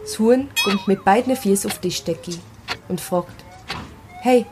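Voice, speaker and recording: A woman's voice: medium pitch, measured pace. A woman with a Swiss German accent narrating a children's story. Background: loud music.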